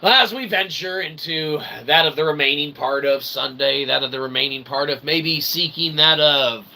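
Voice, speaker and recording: A man's voice: a little high, normal speed, sharp tone. A man hosting a live stream, rambling through a comic mock-sermon in wordy, pseudo-formal phrases with folksy check-ins. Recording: clearly noisy background.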